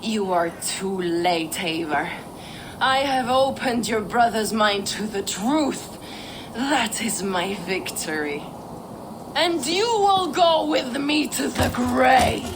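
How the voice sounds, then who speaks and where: A woman playing a fanatical, unrepentant zealot villain in a video game, preaching in a grand, deliberate manner. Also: a little high, animated, noisy background. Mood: cold triumph. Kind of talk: dramatic video game acting.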